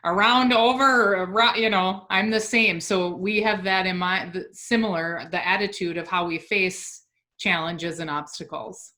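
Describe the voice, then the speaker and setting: Bright-voiced woman hosting an interview, upbeat, talks fast. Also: lowish voice, steady tone. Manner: upbeat, agreeable, quick. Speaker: podcast host. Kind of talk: interview conversation.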